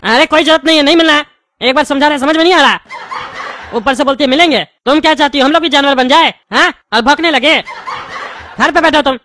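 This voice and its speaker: A man's voice: very high-pitched, quick pace, sharp tone, soft background music. A man voicing a blustering cartoon politician and strict father, with emphatic, repetitive rustic speech. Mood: stern, warning. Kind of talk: comic cartoon dialogue.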